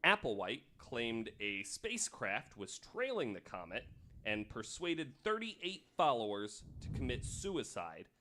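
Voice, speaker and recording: A man hosting a podcast, explaining researched material in a casual storytelling style, with pauses between read passages. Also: medium pitch, sing-song, sharp tone, slight background noise. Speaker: podcast host.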